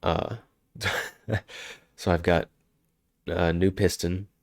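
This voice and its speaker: A man's voice: deep voice, fairly even intonation, very slow, vocal fry, no echo, clean studio sound. A man with a head cold, sounding congested, chatting casually and rambling on a live stream. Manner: rambling, self-interrupting, chuckling.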